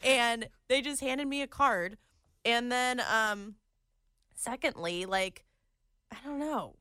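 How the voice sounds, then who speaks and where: A woman's voice: a little high, slow pace, bright, forceful tone, clean tone, dry recording, studio quality. A woman co-hosting a casual podcast, giggly and bubbly with lots of fillers. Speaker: co-host, pageant contestant. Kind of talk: casual chat.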